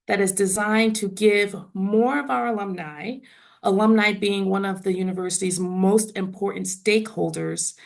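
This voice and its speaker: A woman in her forties with a raspy voice, hoarse from laryngitis, answering interview questions in a measured way over a video call. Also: mid-range voice. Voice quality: raspy, gravelly. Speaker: university trustee guest.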